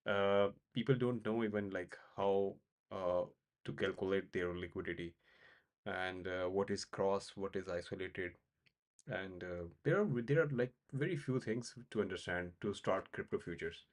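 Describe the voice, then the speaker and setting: Man from Pakistan, low voice, halting casual livestream talk full of "uh" and repeats. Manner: halting, many fillers and repeated words. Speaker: crypto trading livestream host. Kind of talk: casual live-stream chat answering viewers.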